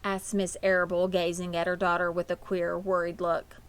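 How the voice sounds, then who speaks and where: A woman's voice: fairly low pitch, completely flat delivery, normal speed, clear, resonant tone. A woman reading a children's book aloud as a scripted narration. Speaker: storybook reader.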